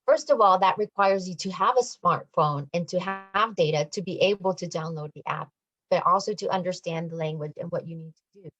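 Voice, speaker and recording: A woman's voice: lowish voice, a little fast, a bit bright, no room sound, highs cut off. A woman explaining a community campaign as a guest in a radio discussion, speaking over an online call.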